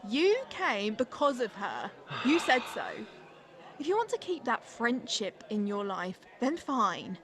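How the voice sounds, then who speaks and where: A woman's voice: a little high, very animated, measured pace, a crowd in the background. A woman playing a manipulative, wounded girlfriend in a stage drama, arguing in a defensive, guilt-tripping way. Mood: controlling, insistent. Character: manipulative, wounded, accusing young girlfriend in abusive relationship. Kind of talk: dramatic dialogue from a stage play.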